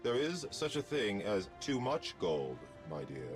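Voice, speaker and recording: Give this video game villain a low male voice with swooping, theatrical pitch. He is a snobbish artist and killer, pompous and menacing, disdainful of everyone around him. Music plays underneath. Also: very animated, a little slow. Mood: haughty, mocking. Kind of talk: video game character voice lines.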